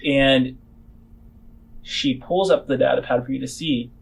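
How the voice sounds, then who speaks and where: A man running a tabletop game, improvising descriptive narration in halting, trailing phrases. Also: mid-range voice, vocal fry, husky, rough recording. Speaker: game master.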